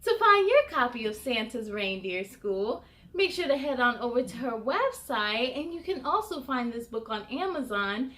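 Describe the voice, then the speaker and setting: High-voiced woman reading stories to children. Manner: animated asides addressed to young listeners. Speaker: host of children's read-aloud story time. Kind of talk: expressive picture-book reading for children.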